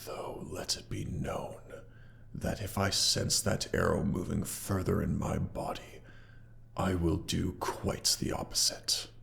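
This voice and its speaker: A man voicing a proud, mighty dragon with a gravelly, pompous, condescending delivery and an effect on the voice, in a scripted roleplay audio. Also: deep voice, full character voice. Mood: proud, scheming. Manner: pompous, condescending, deliberate theatrical phrasing. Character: proud, mighty male dragon, haughty yet grudgingly grateful. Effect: sound effects processing on the voice.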